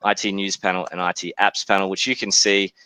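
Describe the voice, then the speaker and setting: A man in his forties with an Australian accent, politely presenting his software company in a webinar, speaking in a measured way with frequent hesitations. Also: low-pitched, level tone, clean tone, no echo.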